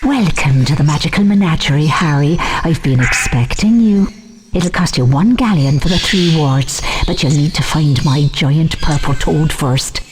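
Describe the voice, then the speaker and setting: A woman voicing a brisk, welcoming keeper of a magical pet shop in a video game. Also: deep voice, animated. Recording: noisy background with clicks.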